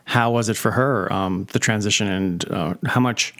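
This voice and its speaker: A man hosting a casual podcast interview, his voice sitting somewhat low. He talks loosely, circling back with fillers and restarts as he thinks aloud. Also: moderate pace, a bit bright. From Pennsylvania. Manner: rambling, lots of fillers and restarts. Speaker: podcast host.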